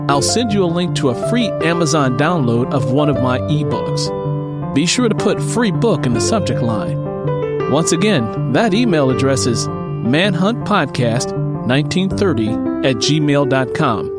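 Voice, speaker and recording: A man's voice: fairly high pitch, lively intonation, a little slow. A man reading scripted material as the host and narrator of a story podcast. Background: music.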